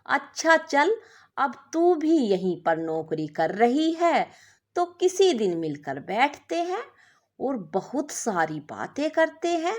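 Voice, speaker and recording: A woman storyteller voicing a modest, contented young working wife, speaking gently and earnestly. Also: sits high, big pitch swings, takes it slowly, voice pushed up, heavily acted. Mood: defending, friendly.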